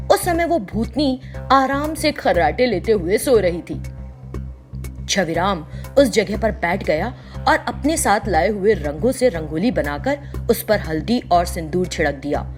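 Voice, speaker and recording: A woman narrating a scripted children's story in an expressive storytelling way. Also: mid-range voice.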